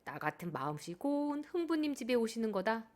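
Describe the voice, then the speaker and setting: A woman's voice: a little high, big pitch swings, fairly quick, darkish tone. A woman voicing a boastful village boy in a children's story, mocking and teasing.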